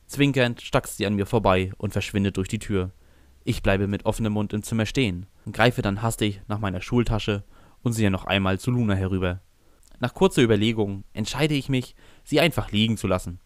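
A man's voice: on the low side, brisk, no room sound. A man reading a scripted first-person narration for a fan fiction audio drama.

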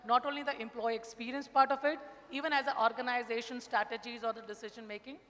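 A woman's voice: fairly high pitch, fairly even intonation, fast, a bit bright. A middle-aged woman giving an unscripted answer as a panelist on a conference stage. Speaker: panelist, HR business partner. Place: large conference hall stage, indoors. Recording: reverberant conference hall.

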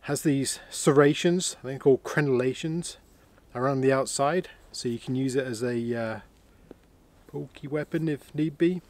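A man's voice: mid-range voice, normal speed. A man vlogging from inside a heated canvas tent on a winter camping trip, casually showing off new gear and thinking aloud as he goes. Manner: relaxed, chatty, thinks aloud with fillers. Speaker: outdoor vlogger.

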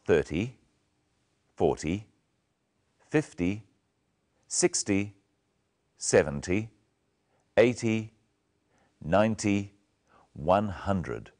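A man's voice: deep voice, expressive, slow, pauses often, darkish tone. A man presenting a scripted English lesson, instructing learners in carefully enunciated phrases.

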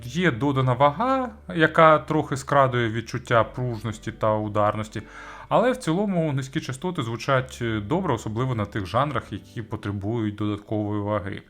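A man's voice: mid-range voice, lively intonation, fairly quick, fairly bright tone, faint music behind. A man giving a conversational product review as host, searching for words and adding informal asides. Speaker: audio gear reviewer and host.

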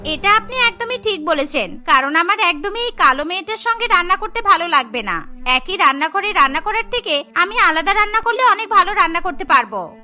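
Woman doing a shrill, haughty young sister. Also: animated, a little fast, pushed and bright, faint music behind, heavily acted. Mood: haughty, defiant. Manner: boastful, mocking. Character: vain, haughty, spiteful beautiful young sister. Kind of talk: Bengali cartoon story dialogue.

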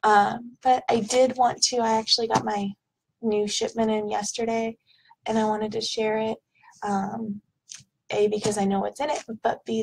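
A woman in her thirties, a bit hoarse from illness, chatting casually at her webcam in a small home office while unboxing products, with plenty of ums. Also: medium pitch, a little hiss or hum, poor audio. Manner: chatty, rambling, with frequent ums. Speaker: product vlogger. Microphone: webcam at desk.

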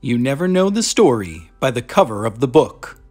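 An American man in his thirties hosting a children's read-aloud show, speaking to young viewers in clear, simple phrases as he presents and reads from a script. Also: medium pitch, big pitch swings, normal speed, reverberant, music in the background. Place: small furnished room indoors.

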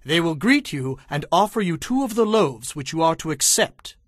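An actor playing a solemn, commanding old prophet in an audio Bible drama, declaiming deliberately. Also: male voice, on the high side, very animated, no room sound, clean studio sound. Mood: solemn, instructing.

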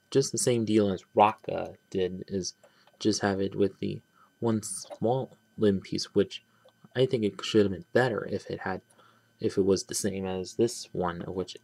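A man giving a casual, rambling toy review, pausing and restarting often as he handles the pieces. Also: low-pitched, creaky, darkish tone, slight background noise. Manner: rambling, halting, many restarts and trailing "but yeah". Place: small indoor room at a table. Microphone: camera close on hands, speaker off-frame.

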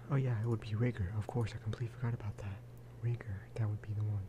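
A man giving casual, rambling ASMR game commentary, speaking softly and often slipping into whispers. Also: fairly low pitch, fairly even intonation, quick pace, noisy background. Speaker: gaming video host.

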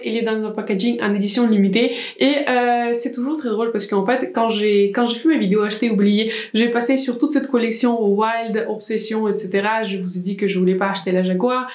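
A young woman reviews products in a relaxed, rambling chat, circling back on herself with fillers and restarts. Her voice sits at a middle pitch and rings a little in an echoey room. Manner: chatty, many restarts and fillers. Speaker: beauty vlogger. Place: small indoor room with plants.

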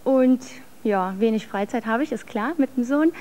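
A young woman in her early twenties introduces herself on stage with a hesitant, fairly high voice. She is a contestant in a studio show. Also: normal speed, a little mellow, muffled recording.

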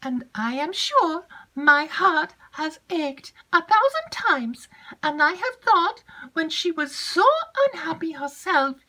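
A woman putting on a shrill, gossipy young maid. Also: big pitch swings, sharp tone, full character voice, rough recording. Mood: chattering. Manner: breathless chatter with drawn-out emphasis. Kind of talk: audiobook character reading.

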